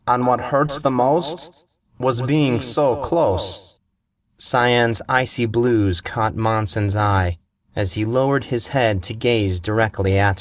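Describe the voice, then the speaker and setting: A man narrating a fantasy story in a measured, unhurried reading style. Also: on the low side, no echo, clean studio sound. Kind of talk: audiobook fiction reading.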